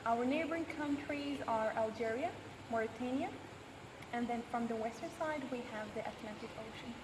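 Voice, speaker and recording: A young woman in her twenties, a student, answering interview questions in a relaxed way with frequent pauses. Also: on the high side, recorded from a distance. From United States. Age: 20s. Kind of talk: casual explanatory interview answers. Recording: echoey hall from afar, background noise.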